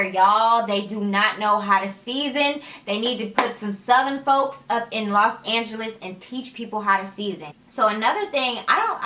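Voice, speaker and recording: A young woman chatting casually to her camera, energetic and sassy, with a tired, slightly raspy voice late at night. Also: on the high side. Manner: energetic, chatty, laughs often, sassy asides. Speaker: vlogger. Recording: echoey room.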